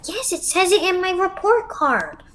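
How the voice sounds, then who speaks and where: A woman's voice: very high-pitched, big pitch swings, over a noisy crowd. A woman voicing an anxious, hesitant young schoolgirl in an amateur roleplay. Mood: proud. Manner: hesitant, halting. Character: anxious young schoolgirl, unwell but proud of reading.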